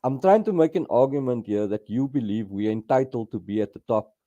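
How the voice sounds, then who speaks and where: A middle-aged man calls in to argue about football. His voice is mellow and dark, and he stumbles and repeats words while he gets going. The sound is close and dry. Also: mid-range voice, expressive, no room sound, highs cut off. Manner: halting, repeats words, argues from club history. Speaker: caller. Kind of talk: call-in football debate.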